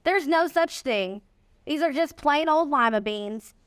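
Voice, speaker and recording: A woman reading the part of a kind, sweet old woman in a storybook, gentle and folksy. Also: sits high, expressive, unhurried, no room sound, studio quality. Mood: kindly, helpful.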